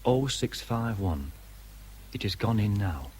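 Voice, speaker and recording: An actor playing an army shorthand writer who reports timed entries impersonally in clipped military phrases, with faint noise behind, in a radio drama. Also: male voice, lowish voice, expressive, takes it slowly, darkish tone. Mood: impersonal, methodical.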